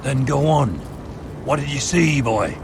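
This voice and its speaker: Gravel and creak run through this stern officer, who speaks with scornful menace at a middling pitch. It is dramatic game dialogue over a clearly noisy, rumbling background. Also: male voice, a little slow. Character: stern, accusing senior officer of a sworn brotherhood.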